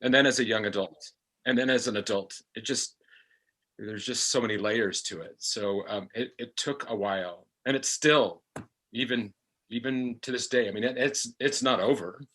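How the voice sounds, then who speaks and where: A middle-aged man speaking reflectively about personal experience, haltingly, repeating words as he searches for them. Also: mid-range voice, a bit bright, slightly rough. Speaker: actor on a discussion panel, speaking as a parent.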